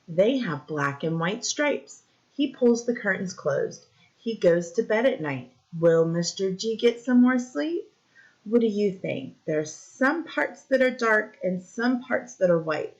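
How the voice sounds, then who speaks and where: A woman reading a picture book aloud to young children like a teacher, explaining simply and pausing to ask questions. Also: mid-range voice, very animated. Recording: dull, lo-fi recording.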